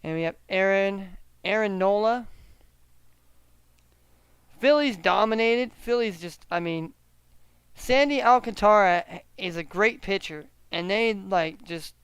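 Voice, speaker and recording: A man hosting a casual solo sports betting chat, often halting and repeating himself as he talks through his picks. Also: high voice, a bit bright, clean tone, no room sound. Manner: halting, repeats words, hedging gut calls. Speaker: sports betting show host.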